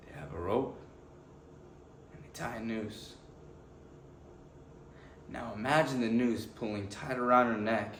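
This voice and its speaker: An actor playing an earnest, anguished defense lawyer pleading to a jury, delivering a deliberate, emotional courtroom monologue with weighted pauses. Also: male voice, mid-range voice, fairly bright tone, lightly acted. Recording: echoey room with faint background noise.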